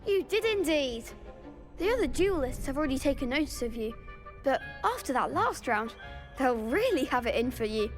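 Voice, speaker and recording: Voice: high voice, very animated, moderate pace, soft background music. A performer playing an eager, encouraging young schoolboy who runs a duelling club in a video game. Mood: excited, admiring. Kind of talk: scripted video game dialogue.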